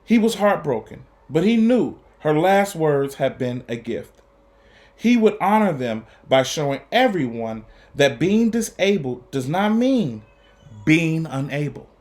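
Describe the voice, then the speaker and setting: A man reading a children's story aloud to students, with deliberate, emphatic phrasing and an earnest, encouraging manner. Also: fairly high pitch, very animated, a little mellow. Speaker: guest reader for students.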